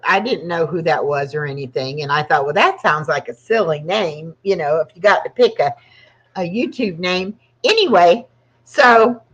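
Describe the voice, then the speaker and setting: A woman's voice: mid-range voice, a bit bright. A woman in her sixties hosting her own channel, chatting casually and rambling with frequent laughs. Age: 60s. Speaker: channel host. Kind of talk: casual live chat. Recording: dull lo-fi sound.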